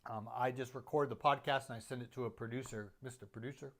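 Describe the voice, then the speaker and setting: A man's voice: mid-range voice, steady tone, fast. A man who is a podcaster, casually reviewing his audio gear in a chatty, self-deprecating way with lots of fillers and restarts.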